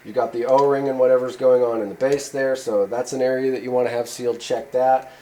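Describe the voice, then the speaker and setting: A man's voice: medium pitch, steady tone, brisk, warm tone. A man giving a casual, rambling how-to demonstration at a workshop bench, with many "uh" and "you know" fillers. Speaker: channel host showing radio antennas.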